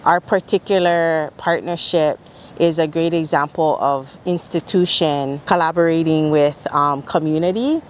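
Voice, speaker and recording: A woman's voice: deep voice, measured pace, slight background noise. A woman speaking as a program organizer, explaining things in an interview.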